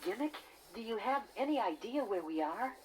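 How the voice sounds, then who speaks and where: A man's voice: sits high, animated, normal speed, darkish tone. A man voicing a friendly, earnest young bear who tells his adventure in a children's read-along storybook. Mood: earnest, curious. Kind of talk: 1980s children's read-along narration and dialogue. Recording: very noisy old cassette transfer.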